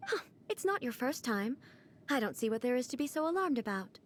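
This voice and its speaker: A composed, regal woman ruler speaks slowly and formally in a slightly raised register. Every sentence is measured and controlled, aloof yet unhurried. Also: sits high, noisy background. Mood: calm. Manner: calm, measured, formal speech. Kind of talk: video game cutscene dialogue.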